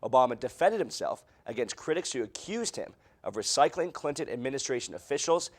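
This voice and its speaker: A man's voice: mid-range voice, big pitch swings, a little fast. A young man with an American accent reads scripted news in a formal newscaster delivery, as a reporter and anchor. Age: young adult.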